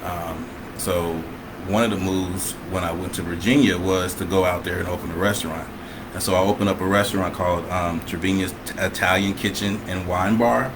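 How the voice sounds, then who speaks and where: A middle-aged man from Michigan, a chef, talking about his life in a relaxed, hesitant way with many fillers. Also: low voice, brisk. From Michigan, USA. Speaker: guest, chef. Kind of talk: casual conversation on a livestream. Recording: noisy home recording.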